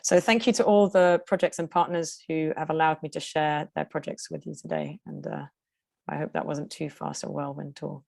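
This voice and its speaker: A woman with an English accent, speaking quickly with many fillers and restarts as she presents and answers questions on a video call. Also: low voice, creaky, a little mellow, no echo, studio quality. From Denmark. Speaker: conference presenter. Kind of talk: webinar presentation and Q&A.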